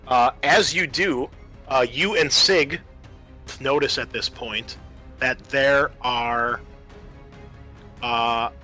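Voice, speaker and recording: A man with a bright, forward voice runs a tabletop roleplaying game. He narrates descriptively and addresses his players directly, at an average pitch, with faint music playing behind him. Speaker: game master.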